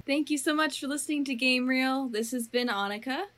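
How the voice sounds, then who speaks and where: A woman's voice: high voice, a little fast. A woman in her twenties co-hosting a casual podcast, retelling a story with fillers and frequent laughs. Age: 20s. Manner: animated, laughs often, filler-heavy storytelling. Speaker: podcast co-host. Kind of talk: casual podcast chat, retelling a movie pitch.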